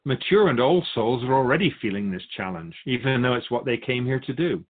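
A man speaking as a spiritual guide, answering in a measured, assured, didactic way in philosophical conversation. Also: mid-range voice, lively intonation, narrow, tinny audio.

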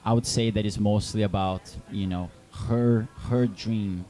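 Man, Brazilian Portuguese accent, young adult, low-pitched, hesitant, full of fillers, interview answers. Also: fairly even intonation, measured pace, mellow. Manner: hesitant, many fillers and restarts. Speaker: film director.

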